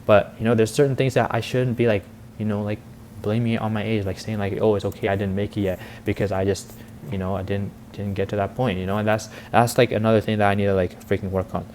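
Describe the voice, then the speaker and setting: Young dude around 18 talking super fast, slangy vlog rambling, lowish voice, some background noise. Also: male voice, level tone, a little mellow. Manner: rambling, heavy youth slang with frequent "like" and "bro". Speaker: vlogger and content creator. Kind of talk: casual confessional vlog monologue.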